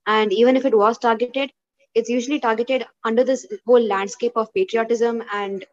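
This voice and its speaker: A woman speaks from home on a video call. She explains her points earnestly and at length, in a fairly high voice. The sound comes through a little muffled. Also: steady tone, moderate pace. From India. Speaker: discussion participant. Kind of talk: casual online group discussion.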